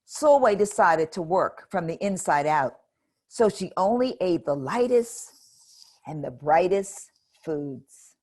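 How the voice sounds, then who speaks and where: Woman reading a picture book to kids, gentle and expressive; low voice, very slow. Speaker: storybook reader. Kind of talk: children's picture book read-aloud.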